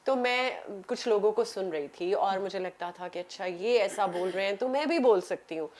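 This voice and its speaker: A woman's voice: mid-range voice, moderate pace, darkish tone. A woman with a Pakistani accent, a radio broadcaster chatting as an interview guest and switching often into English. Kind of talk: conversational interview answers, mixing in English.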